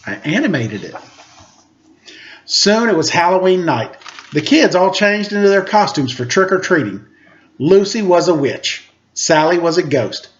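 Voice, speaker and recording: Animated male storyteller, fairly high voice. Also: unhurried. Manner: playful, expressive. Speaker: storyteller reading to children. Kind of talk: animated children's story reading with casual asides. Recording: slightly dull, lo-fi audio.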